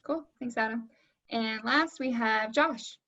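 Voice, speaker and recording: A woman's voice: a little high, takes it slowly, pushed and bright. A woman hosting a panel over a video call, presenting casually to an online audience. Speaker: panel host, moderator. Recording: dull lo-fi online meeting audio.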